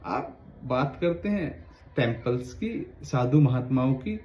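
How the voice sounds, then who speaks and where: A man's voice: medium pitch, lively intonation, slow pace, a little mellow, a little hiss or hum. A man, a lawyer, making an earnest, pleading direct-to-camera appeal that carries a warning. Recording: roomy, dull lo-fi sound.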